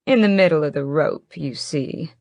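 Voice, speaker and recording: A woman's voice: low-pitched, a little mellow, no echo, studio quality. A woman voicing a languid, sinister male vampire who drawls and mocks his captive. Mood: mocking. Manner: drawling, mocking, unhurried.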